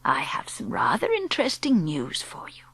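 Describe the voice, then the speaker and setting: A woman voicing a gentle, wise grandmother in her eighties, speaking slowly in a soft, whispery hush. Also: sits low, huge pitch swings, voice pushed down, exaggerated voice. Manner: slow and hushed.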